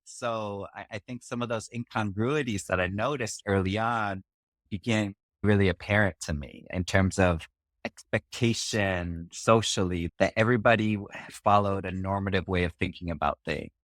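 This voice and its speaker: A middle-aged man from Singapore with a very low, deep voice, speaking as a podcast guest. He tells his story in a measured, reflective way, pausing on fillers. The sound is dry and close, with no room around it. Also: fairly bright tone, no room sound. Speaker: podcast guest, community organizer. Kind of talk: conversational storytelling interview.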